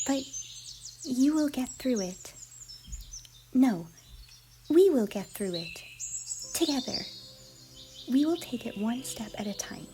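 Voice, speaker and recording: A woman voicing an affectionate, bubbly young cartoon pony in an ASMR roleplay, with a squeaky, breathy voice and a theatrical, tender manner. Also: lively intonation, takes it slowly, pauses often, warm tone. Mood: gently reassuring. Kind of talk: ASMR fan fiction roleplay. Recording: noisy, birdsong and ambience behind.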